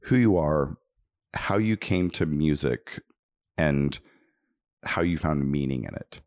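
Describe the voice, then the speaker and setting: A man's voice: very deep voice, lively intonation, takes it slowly, a little mellow, no room sound, studio quality. A man who is a conductor, hosting a podcast interview in a backstage dressing room and talking in an earnest, enthusiastic way. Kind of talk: warm conversational interview.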